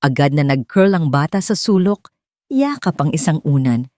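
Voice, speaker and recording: Low-voiced woman narrating drama, dry sound. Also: sing-song, normal speed, mellow, no echo. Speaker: storyteller. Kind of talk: dramatic scripted narration of a love story.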